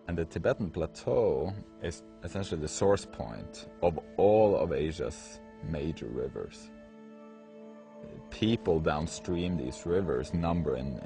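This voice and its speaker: Guy, photographer, really low voice, thinks out loud, music playing under him. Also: creaky. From Norway. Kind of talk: documentary interview.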